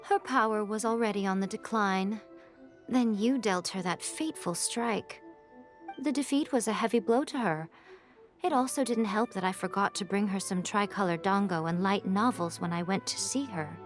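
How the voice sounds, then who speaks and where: An actress playing a sly, playful shrine priestess with a teasing, arch manner. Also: female voice, medium pitch, moderate pace, soft background music, close to an everyday voice. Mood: confiding. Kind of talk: video game voice acting.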